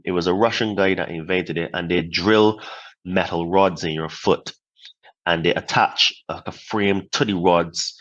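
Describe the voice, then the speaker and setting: Very deep voiced guy from barbados telling his story, lots of "you know", kinda muffled lo-fi sound. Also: fairly bright tone. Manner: speaks in short phrases, repeats "you know". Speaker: podcast guest. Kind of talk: reflective storytelling.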